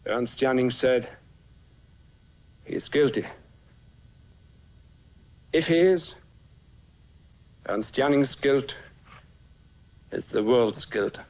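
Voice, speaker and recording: A man's voice: medium pitch, darkish tone, rough recording. A man playing an impassioned defense lawyer, delivering an emphatic, oratorical courtroom speech in a dramatic film. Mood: grave. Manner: oratorical, emphatic, rhetorical questioning. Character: impassioned male defense lawyer arguing before a tribunal.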